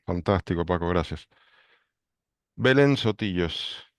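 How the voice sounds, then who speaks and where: Deep-voiced man hosting a call. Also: expressive, dry recording, studio quality. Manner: brisk, brief greetings. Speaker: book club director and host. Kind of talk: calling roll on a video call. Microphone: video call.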